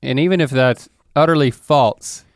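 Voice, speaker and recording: An easygoing man in a casual chat, talking in a relaxed, philosophical way. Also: mid-range voice, moderate pace, dry recording, clean studio sound.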